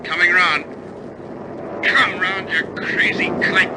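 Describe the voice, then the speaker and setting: Bomber captain. Raised pitch, commanding, colloquial, 1950s radio acting, heavy aircraft din. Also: male voice, steady tone, slow pace. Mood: tense, determined. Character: bomber captain steering a crippled aircraft, steady under strain. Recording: old radio recording, loud aircraft engine noise.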